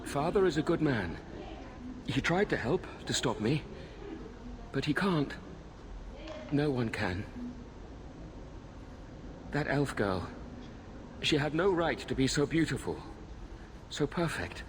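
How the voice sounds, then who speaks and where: Tortured self-pitying killer in a video game, pleading, noisy ambience behind. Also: male voice, on the high side, animated, slow pace, long pauses. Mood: anguished. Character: tormented, guilt-ridden murderer, magistrate's son.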